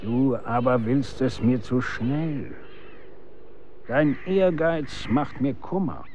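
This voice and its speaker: A middle-aged actor playing a stern, worldly executioner and father in a historical radio play, measured and admonishing in archaic speech. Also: medium pitch, darkish tone, lightly acted. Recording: dull sound, faint noise.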